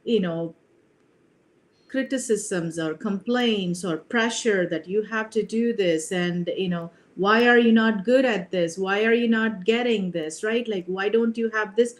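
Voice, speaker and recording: A woman's voice: mid-range voice, a little slow. A middle-aged woman from India, a leadership coach speaking earnestly on a video call, often adding "you know" and "right?". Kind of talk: reflective interview talk.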